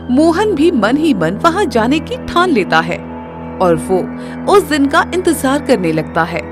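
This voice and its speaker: A woman tells a cartoon moral story in an expressive storytelling voice. Her pitch rises and falls a great deal as she builds suspense. Background music is clearly heard under her. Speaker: narrator. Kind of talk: storybook narration.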